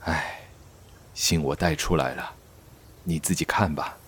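A deep, solemn aristocratic father. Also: measured pace, a bit bright, slightly rough, exaggerated voice. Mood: grave, troubled. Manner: solemn, theatrical, sighing delivery. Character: grave middle-aged aristocratic father, reading a grieving letter. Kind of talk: Mandarin radio drama acting.

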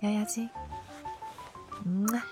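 A woman's voice: mid-range voice, steady tone, slow pace, music in the background, close to an everyday voice. A woman playing an earnest young woman in an audio drama, with an emotive delivery. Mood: tender, soothing. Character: young woman, defiant captive and doting girlfriend.

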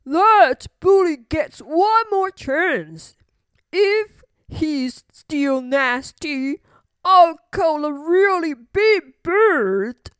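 A woman voicing a kind but firm male elephant in a children's story, speaking politely and deliberately. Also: very high-pitched, expressive, fairly bright tone, dry recording, studio quality, pitched above the performer's own voice, heavily acted. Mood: firm warning.

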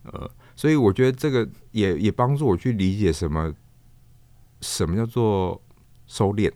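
Male interview guest, Taiwanese accent: very low register, reflective and hesitant, often repeating words, close dry sound. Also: mellow, no room sound. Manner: halting, thoughtful, searches for words and repeats himself. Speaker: guest, theatre performer, puppeteer and director.

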